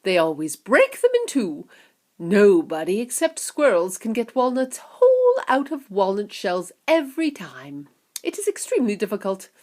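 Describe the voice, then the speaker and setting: A woman reading an audiobook, voicing an eccentric, excitable male chocolate maker who talks eagerly and emphatically. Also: medium pitch, moderate pace. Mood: enthusiastic.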